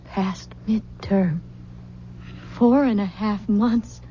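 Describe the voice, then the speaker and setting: An actress playing a desperate, anguished pregnant young bride in a melodramatic old-time radio horror play. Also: female voice, medium pitch, very slow. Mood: fearful, desperate. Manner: melodramatic, intense. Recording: dull, noisy vintage radio recording.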